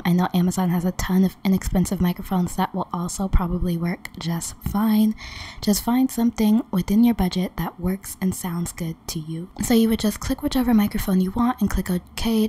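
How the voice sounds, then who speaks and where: A woman who is losing her voice talks viewers through a tutorial in a friendly, chatty way. Her tone is mellow and dark, with a husky, breathy edge. She records close on a desktop microphone at home. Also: medium pitch. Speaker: gaming channel host. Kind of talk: casual screen-recording tutorial. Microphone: desktop condenser microphone.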